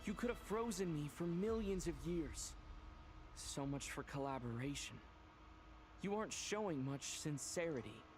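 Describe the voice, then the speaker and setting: High-voiced, earnest young hero, played by a man. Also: expressive, normal speed, noisy background, close to an everyday voice. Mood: defiant. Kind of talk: scripted video game dialogue. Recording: game music behind the voice.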